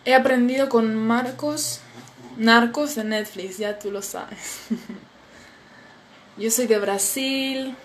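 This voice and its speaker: Young woman, slightly high voice, sleepy and chatty, phone mic, faint background noise. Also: extremely slow, pauses often. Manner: friendly, chatty, reads comments aloud and reacts. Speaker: Spanish teacher hosting a live stream. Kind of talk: casual live-stream chat reading viewer comments. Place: small indoor room at a table. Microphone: phone camera at arm's length.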